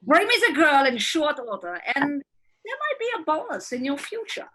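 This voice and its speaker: A woman's voice: sits high, very animated, normal speed, shouting, heavy background noise. A woman voicing a scheming, menacing wicked witch in a livestreamed script reading. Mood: commanding. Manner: gloating, menacing, with cackling laughs. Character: scheming wicked witch running a hospital wing.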